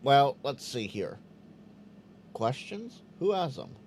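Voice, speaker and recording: Chatty man with lively pitch. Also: slow, slight background noise. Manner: chatty, stammers on restarts, sounds out Morse code. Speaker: host of a live Q&A stream. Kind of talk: casual live-stream chat reading viewer comments.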